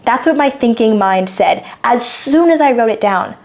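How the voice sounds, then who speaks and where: A woman's voice: on the high side, lively intonation, moderate pace, a little mellow. A woman in her twenties, co-hosting a podcast and explaining ideas in a thoughtful, casual chat. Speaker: podcast co-host.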